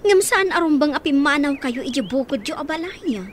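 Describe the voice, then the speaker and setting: A woman's voice: sits high, expressive, fairly quick, a little hiss or hum. An actress playing a concerned, helpful woman in an Ilocano radio drama, speaking in an earnest, conversational way. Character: concerned helpful woman taking in orphaned children.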